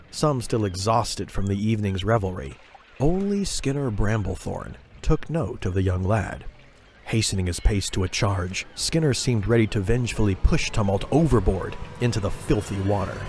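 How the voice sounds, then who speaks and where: A man's voice: on the low side, a little mellow, a little hiss or hum. A man narrating a scripted fantasy audio drama in a dramatic storytelling style. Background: music.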